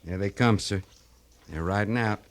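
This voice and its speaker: A man plays a seasoned army sergeant in an old radio western with a low voice. He reports in clipped, respectful phrases, on a recording with faint noise behind him. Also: normal speed, lightly acted. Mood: brisk, dutiful. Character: dutiful, seasoned US cavalry sergeant. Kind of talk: 1950s radio western acting.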